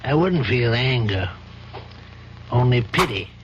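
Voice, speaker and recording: An actor playing an older, compassionate judge in an old-time radio drama, reasoning in a measured, probing, paternal manner. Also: male voice, mid-range voice, lively intonation. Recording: dull, faintly noisy old radio recording.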